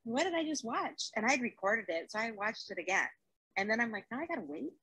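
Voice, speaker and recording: A woman with a bright but husky voice. She talks quickly and informally, as in a casual group conversation. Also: a little high, expressive, dry recording, rough recording. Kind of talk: casual chat.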